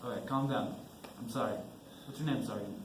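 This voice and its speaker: A man's voice: mid-range voice, a little fast, close to an everyday voice. Teen boy as a blunt army major, echoey stage. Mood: calm, firm. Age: teens. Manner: blunt, authoritative. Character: gruff, commanding army bomb-disposal major. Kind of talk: amateur stage play acting. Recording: echoey stage, faint noise.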